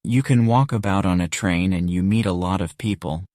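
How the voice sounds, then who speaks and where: Low-voiced man narrating a story. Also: a little fast, darkish tone, no echo, clean studio sound. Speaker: audiobook narrator. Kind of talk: scripted story narration, learner English.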